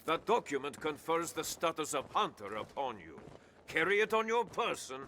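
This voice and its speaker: An actor playing a duke's messenger in a fantasy game, formal and official with archaic wording. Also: male voice, on the high side, big pitch swings, normal speed, a little hiss or hum, exaggerated voice. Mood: ceremonious. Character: formal male messenger of a duke. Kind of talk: scripted fantasy game dialogue. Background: loud music.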